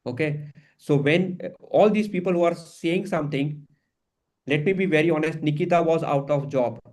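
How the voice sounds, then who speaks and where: A man's voice: a little high, normal speed, darkish tone. A man, hosting as a career coach and giving an earnest, emphatic motivational talk to his community. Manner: earnest, emphatic, switches into Hindi phrases.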